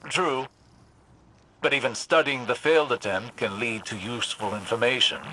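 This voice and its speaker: An actor playing a wise, measured sorcerer-scholar, speaking calmly and deliberately in video game dialogue. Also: male voice, medium pitch, a little hiss or hum, natural voice. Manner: calm, deliberate and grave. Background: game score music.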